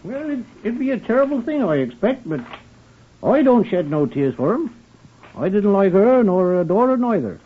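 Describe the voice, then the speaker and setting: A simple, loose-tongued English country handyman, grumbles and rambles in rustic dialect. His voice is very high and swings up and down with a husky edge. It comes through a muffled vintage radio recording. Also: very animated, moderate pace, exaggerated voice. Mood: aggrieved, gossipy. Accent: English rural. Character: simple-minded, talkative, resentful rustic farm handyman. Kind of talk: old-time radio drama acting.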